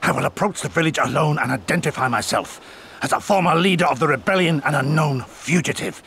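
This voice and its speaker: An actor playing a stern, fatalistic rebel leader in a video game, speaking in a grave, formal, declamatory way. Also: male voice, a little high, fairly quick, creaky, a bit bright, slight background noise. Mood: resolute, urgent.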